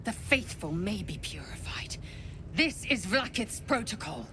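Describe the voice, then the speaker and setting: A woman's voice: mid-range voice, sing-song, a little slow, noisy background. A woman voicing a proud, devout young alien warrior, clipped, formal and zealous in a fantasy game. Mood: reverent, intense.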